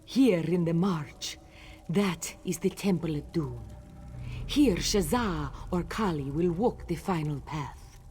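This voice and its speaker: Game priestess: solemn cat-folk ritual leader, lowish voice, very unhurried, ceremonial. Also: female voice, animated, dark tone, soft background music. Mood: solemn explaining. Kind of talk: fantasy video game character dialogue.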